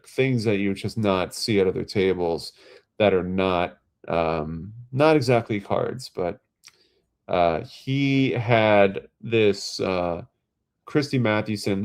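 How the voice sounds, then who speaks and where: A man's voice: lowish voice, darkish tone. A man hosts a casual livestream. He sounds stuffed up and nasal from a head cold. He rambles and restarts his sentences, with plenty of ums and uhs. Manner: rambling, halting, many fillers and restarts. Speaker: livestream host.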